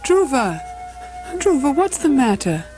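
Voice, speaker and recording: A woman acting a gentle, worried mother queen in a puppet show. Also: sits high, very animated, measured pace, faint music behind.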